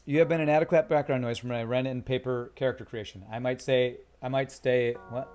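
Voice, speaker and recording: A man streaming a video game, chatting casually and reading chat aloud, with a calm voice that sounds tired. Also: mid-range voice, a little fast, clear, resonant tone, soft background music. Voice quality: calm, soothing voice. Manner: chatty, reads chat aloud, laughs often. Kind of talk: casual live-stream commentary.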